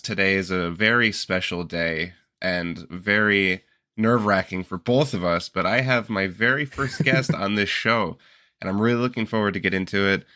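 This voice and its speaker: A man hosting a podcast in casual chat, a little stuffy from a cold, relaxed and rambling. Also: sits low, dry recording, clean studio sound. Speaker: podcast host.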